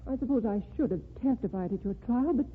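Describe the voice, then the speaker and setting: An actress playing a timid, gentle woman who meets her former lover again, in an old radio drama. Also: fairly high pitch, fast talker, a little hiss or hum, lightly acted. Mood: frightened. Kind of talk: 1940s radio drama acting. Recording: tinny lo-fi old radio broadcast.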